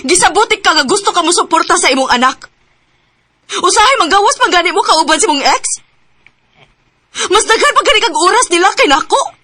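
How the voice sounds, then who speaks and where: Heartbroken young woman, high bright voice, emotional, radio drama. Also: expressive, brisk, pauses often, no echo, exaggerated voice. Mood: hurt. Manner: emotional, pleading. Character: young woman, hurt and anxious girlfriend.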